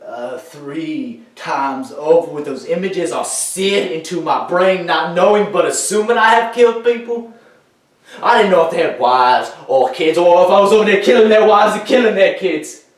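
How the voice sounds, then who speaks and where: A young man acting a troubled, grieving war veteran in a theatrical monologue, halting and emphatic, swinging between hushed and loud delivery. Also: fairly low pitch, close to an everyday voice. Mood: anguished. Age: teenager or young adult. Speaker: high school dramatic interpretation competitor. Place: echoey indoor room, recorded from far off. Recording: echoey room, distant microphone.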